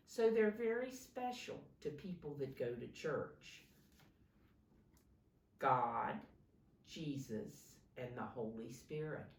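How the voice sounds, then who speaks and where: Low-voiced woman reading slowly to children. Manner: simple, instructive, points out pictures and prompts listeners. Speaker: teacher reading a picture book to young children. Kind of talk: read-aloud storytelling with explanations for young children. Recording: roomy, distant microphone, faint background noise.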